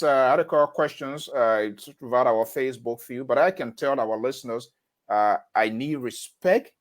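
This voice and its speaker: A man hosting a radio interview, lecturing listeners in a stern way with sudden emphatic jumps in pitch. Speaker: radio host.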